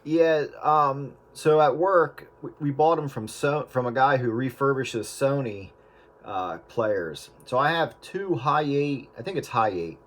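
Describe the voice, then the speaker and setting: A man with a mid-pitched voice talks through practical advice in an easygoing, explanatory way. He hosts a casual radio chat and often pauses with fillers. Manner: relaxed, explanatory, frequent fillers. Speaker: radio show host. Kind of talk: casual chat about converting old media.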